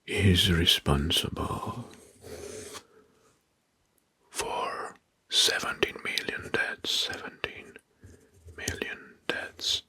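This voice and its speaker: A man narrates history as ASMR in a very breathy, mostly voiceless whisper. His delivery is slow and deliberate, with key phrases softly repeated. The sound is close and dry. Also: low-pitched, wildly swooping pitch, dry recording. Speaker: ASMR host. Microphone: close microphone.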